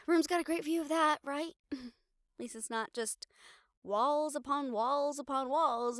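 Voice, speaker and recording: A woman acting a young reporter girlfriend in an intimate audio roleplay, wistful but bravely upbeat, musing in halting, expressive phrases. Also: a little high, clean tone, no room sound, studio quality. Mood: wistful, forced cheer, then nervous, reassuring.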